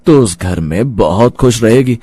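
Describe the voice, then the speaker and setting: A man acts out a humble middle-aged father from a moral cartoon tale. He speaks in a fairly low voice, earnest and affectionate, in a dry close recording. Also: lively intonation, unhurried, mellow, dry recording. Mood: reassuring. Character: caring middle-aged father, humble auto-rickshaw driver. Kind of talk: Hindi moral cartoon story acting.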